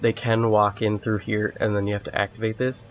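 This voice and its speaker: A man with a cold, a little sniffly, giving relaxed, rambling commentary over a game walkthrough. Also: fairly low pitch, a little fast. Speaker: gamer doing a walkthrough. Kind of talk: casual gameplay commentary.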